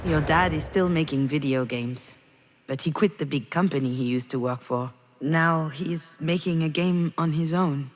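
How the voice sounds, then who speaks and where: A woman voicing a grieving, guilt-ridden mother in a video game, speaking in a halting, intimate, confessional monologue to her child. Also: low voice, in a large hall. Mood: gentle, reflective. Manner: halting, confessional, addressing someone directly.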